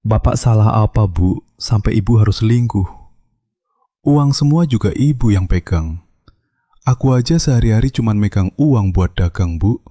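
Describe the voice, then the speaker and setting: An actor voicing a poor, meek satay-seller husband with a Javanese accent, timid and pleading in a cartoon drama. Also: male voice, fairly low pitch, moderate pace, warm tone, no echo, clean studio sound, lightly acted.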